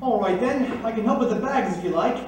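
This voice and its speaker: A man's voice: sits high, animated, fairly quick. A man playing a deferential, chatty ship's crewman in an old-time radio drama, polite and helpful. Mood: polite, obliging. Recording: echoey room, distant, faint background noise.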